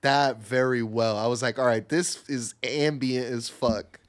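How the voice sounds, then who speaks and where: A man's voice: mid-range voice, fairly even intonation, a little slow. Casual man, foul-mouthed podcast co-host. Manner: informal, profanity-laced. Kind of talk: casual chat about films.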